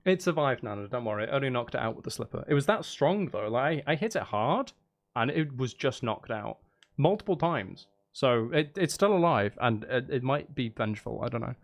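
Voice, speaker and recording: A man's voice: medium pitch, lively intonation, brisk, creaky, faint music behind. A man chatting casually on a live stream, rambling and thinking aloud in a hesitant way. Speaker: live streamer composing game music.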